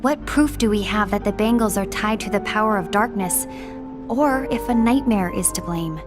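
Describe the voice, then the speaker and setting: A woman's voice: mid-range voice, normal speed, natural voice. An actress playing a poised, diplomatic female warrior leader who speaks formally. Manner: formal, composed. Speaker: voice actor in a game cutscene. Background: game music.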